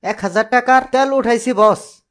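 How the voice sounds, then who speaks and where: A man's voice: extremely high pitch, normal speed, a bit bright. A man voicing a dim, cheeky getaway driver in a cartoon, talking back in colloquial Bangladeshi Bengali. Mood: worried, whiny. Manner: literal-minded, back-talking. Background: music.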